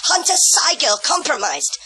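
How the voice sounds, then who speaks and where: A high, bright, glitching robot that stammers and loops on its words. It speaks in a formal, literal way, its pitch leaping all over. Heard in an audio drama. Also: female voice, wildly swooping pitch, measured pace, heavily acted, low-quality recording. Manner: stutters and repeats words like a malfunctioning machine.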